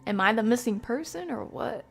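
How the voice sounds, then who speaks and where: A woman's voice: a little high, expressive, normal speed, creaky, fairly bright tone, faint music behind. A woman doing casual live game commentary as a streamer, jokey and slangy, with mild exclamations in place of swearing.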